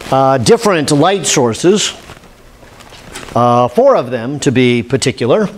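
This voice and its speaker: A man, giving an informal, halting lab demonstration as an instructor, thinking aloud. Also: a little high. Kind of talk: informal demonstration lecture at a whiteboard. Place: indoor classroom lab. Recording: steady room noise, classroom camera audio.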